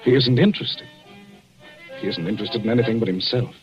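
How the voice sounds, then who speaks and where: An earnest young doctor talks in a low, hesitant voice, as a man acts him out. Music plays clearly under the dull vintage radio sound. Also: a little fast, close to an everyday voice. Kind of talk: 1940s radio acting.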